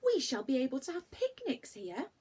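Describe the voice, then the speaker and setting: Woman as excitable young girl, storybook character, curious, eager, wondering, swooping lively pitch. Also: moderate pace. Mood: delighted.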